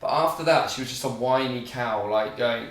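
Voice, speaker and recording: A man's voice: mid-range voice, normal speed, fairly bright tone. A man co-hosting a reaction show, chatting bluntly and jokingly about an episode. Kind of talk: casual chat reviewing an episode. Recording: echoey room, distant mic.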